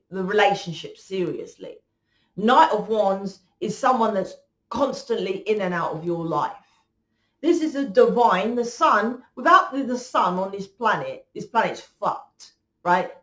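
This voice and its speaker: A woman giving a rambling tarot reading, blunt and swearing freely. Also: mid-range voice. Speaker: tarot reader. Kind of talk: casual tarot reading monologue. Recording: echoey room.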